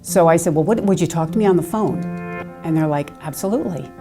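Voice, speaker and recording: Older woman mediator: soft, gentle delivery, low voice, music under it. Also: fast talker. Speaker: eviction mediator. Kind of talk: interview in a produced video.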